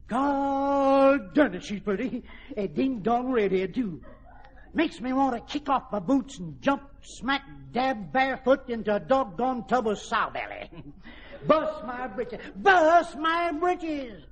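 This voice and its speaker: High-pitched drawling old cowboy sidekick. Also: male voice, expressive, heavily acted. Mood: excitable. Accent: Texan cowboy drawl. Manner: hollering folksy exclamations, drawn-out words. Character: excitable rustic old cowboy sidekick from Texas. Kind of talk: old-time radio comedy acting. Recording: muffled, hissy old radio recording.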